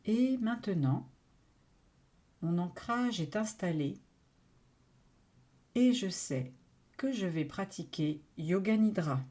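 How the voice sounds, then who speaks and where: A woman guides a relaxation session as a yoga nidra teacher. Her voice is mellow and relaxed, at a medium pitch. She speaks very slowly and soothingly, in short phrases separated by long pauses.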